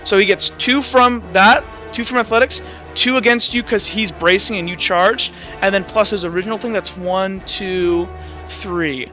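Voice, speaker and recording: A man acting as game master, narrating and explaining rules in a halting, self-correcting way. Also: sits high, bright, forceful tone, highs cut off, faint music behind. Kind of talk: improvised tabletop game narration and rules talk.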